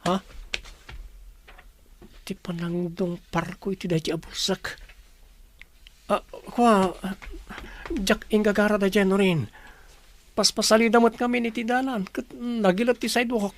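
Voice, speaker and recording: A man's voice: high voice, steady tone, unhurried, long pauses. An eager young suitor in a radio soap, pleading and earnest in his courting. The recording carries a faint noisy ambience. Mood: apologetic. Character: eager young suitor, apologetic when scolded.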